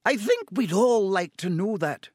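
Brief: stern older schoolmistress male narrator, clipped and pointed, up in pitch. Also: sing-song, normal speed, breathy edge, no room sound, clean studio sound, exaggerated voice. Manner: clipped, prompting. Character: stern older female professor, strained and breathless.

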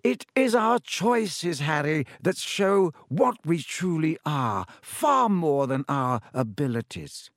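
A man voicing a calm, kindly old male headmaster wizard, speaking slowly and gently in an audiobook. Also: a little high, no room sound, studio quality, voice pushed up. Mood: calm, wise. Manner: slow, gentle, measured speech. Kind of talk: audiobook character voice.

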